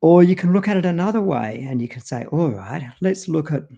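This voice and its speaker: A man's voice: on the high side, lively intonation, fairly quick, vocal fry, mellow, slightly rough, lo-fi sound. A man with an Australian accent casually explaining nutrition on a live stream, loose and full of ums and you-knows. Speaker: co-host presenting on nutrition.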